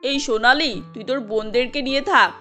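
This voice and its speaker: A woman acts out a careworn cartoon mother. Her voice is high, and she talks in a motherly, urging way with a fretful edge. There is light music underneath. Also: brisk, sharp tone. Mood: reassuring, concerned. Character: poor, caring, careworn mother of five daughters. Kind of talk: Bengali cartoon dialogue.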